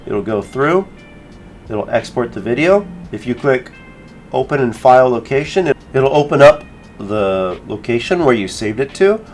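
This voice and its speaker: A man giving a casual software tutorial, informally thinking aloud as he works through each step. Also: mid-range voice. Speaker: tutorial creator.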